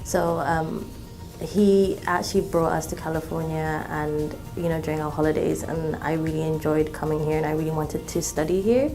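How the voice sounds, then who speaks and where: A woman in her twenties from Malaysia, a student talking in an interview, relaxed, with many ums and restarts. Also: deep voice, normal speed, music in the background. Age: 20s. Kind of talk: interview testimonial.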